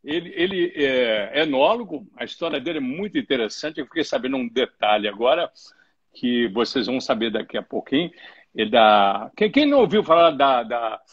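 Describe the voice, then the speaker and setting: A man's voice: medium pitch, expressive, normal speed, husky. A middle-aged man hosting a live interview from home, chatty and joking and stumbling over his words. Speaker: host. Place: home room, indoors. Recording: muffled lo-fi live stream.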